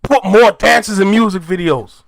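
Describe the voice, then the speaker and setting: A man hosting a casual music reaction video, excitable and talking to his viewers. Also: high-pitched, normal speed, vocal fry, slight background noise, low-quality recording. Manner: excitable, hypes and addresses viewers as "y'all".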